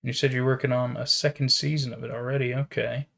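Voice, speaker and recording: A man's voice: medium pitch, little pitch movement, quick pace, vocal fry, a bit bright. A man casually chatting and reacting as a livestreamer, musing aloud and often repeating his phrases. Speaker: streamer reacting to horror videos.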